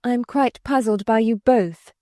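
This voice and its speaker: Woman acting an earnest young woman, raised pitch. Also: normal speed, warm tone, no room sound, clean studio sound, lightly acted. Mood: firm. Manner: direct, earnest, proper. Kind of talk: dramatised audiobook reading.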